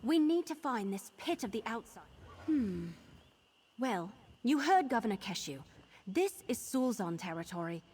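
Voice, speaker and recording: An actress playing an eager, earnest young wood elf adventurer in a video game, talking in a brisk conversational way. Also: female voice, a little high, very animated, a little mellow, slightly rough, full character voice. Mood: eager, determined. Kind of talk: video game character acting.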